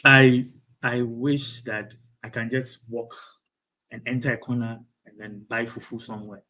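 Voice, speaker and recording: A young man with a Ghanaian accent, a doctoral student being interviewed over a video call, speaking thoughtfully with many pauses and ums. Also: medium pitch, level tone, pushed and bright.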